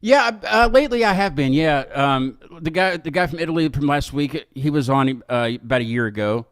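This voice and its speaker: A man's voice: on the high side, animated, a little fast, a bit bright, dry recording, clean studio sound. A man casually hosting an interview show, rambling and correcting himself as he goes. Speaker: host of a music interview show.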